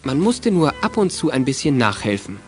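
A man's voice: medium pitch, sing-song, normal speed, faint music behind. A man narrating a children's audio drama as a scripted storyteller.

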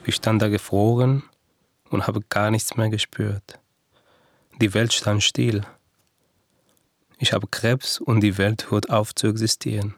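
Man, low gravelly voice, very slow reflective monologue, noisy recording. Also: flat delivery, no room sound.

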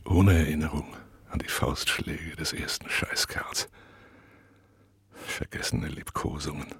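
Radio drama casting: a weary, detached prisoner after torture; extremely low, gravel-edged voice, fragmented delivery. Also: male voice, wildly swooping pitch, a bit bright, no room sound, whispered, full character voice. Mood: haunted. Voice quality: husky, breathy, gravelly. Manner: halting, fragmented, brooding inner monologue. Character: tortured male prisoner in a cell, numb, detached, bitterly weary. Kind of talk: literary radio play monologue.

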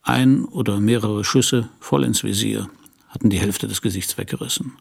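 A man playing a world-weary private detective who narrates his case in a hardboiled radio crime play, dry and laconic, with a husky, gravelly voice. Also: lowish voice, moderate pace. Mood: wry, brooding. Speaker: narrator and lead actor.

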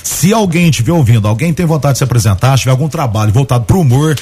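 A man's voice: mid-range voice, animated, fast talker, dark tone, faint music behind. Hesitant man with a cold. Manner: hesitant, many filler restarts. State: has a cold. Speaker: comedian guest. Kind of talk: radio studio interview.

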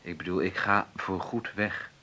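Pushy scheming lawyer, deep gravelly voice, glib and slangy, radio drama, hissy old recording. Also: male voice, steady tone, normal speed, sharp tone, heavily acted. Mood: slick, persuasive. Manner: glib, slangy, persuasive, hesitating over delicate words. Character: brash, scheming lawyer brother, broke and pushy. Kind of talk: Dutch radio play acting.

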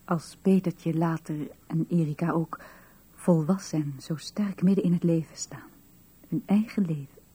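Low, very husky, tender wife. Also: female voice, fairly even intonation, moderate pace. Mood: anxious, wistful. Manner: hushed, intimate, melancholy. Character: tender worried wife, the widow's younger adult self. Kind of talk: radio drama acting.

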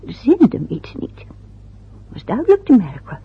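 A woman's voice: fairly high pitch, wildly swooping pitch, moderate pace, slightly rough, full character voice. A fussy, fretful old mother. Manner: fretful, chattering, muttering to herself. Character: fussy anxious older mother. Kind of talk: radio play acting. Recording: dull lo-fi old radio recording.

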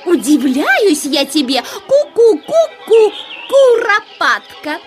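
Brash, high-voiced cuckoo. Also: female voice, big pitch swings, slow, music in the background, full character voice. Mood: amazed. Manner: exclaiming, calling cuckoo. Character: brash, amazed, nosy female cuckoo. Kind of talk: children's audio play acting.

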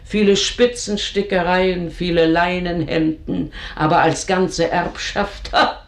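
An actress playing an earthy, bitter older housekeeper in a German radio drama, grumbling with theatrical scorn. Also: female voice, on the low side, steady tone, slow pace, vocal fry. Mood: contemptuous. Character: bitter, earthy older female housekeeper, gossiping and cursing her mistress. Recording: roomy, echoing radio drama studio sound.

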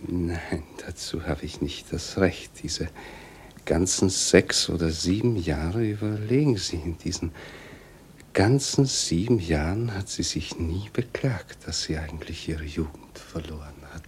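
An actor playing a lame, sick husband in a German radio drama, bitter and despairing, with halting, brooding speech. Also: male voice, deep voice, slight background noise. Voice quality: creaky, breathy, half-whispered. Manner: halting, brooding, repeats words.

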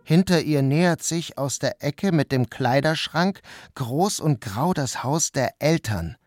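A man giving calm, measured first-person narration of a literary story. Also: on the high side, no room sound. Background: music.

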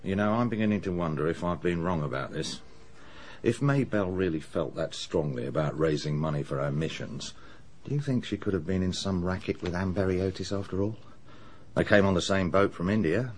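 An actor playing a plain-spoken middle-aged English police inspector in a radio drama, reasoning aloud in a matter-of-fact way. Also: male voice, sits low, fairly quick, darkish tone. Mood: puzzled, speculative.

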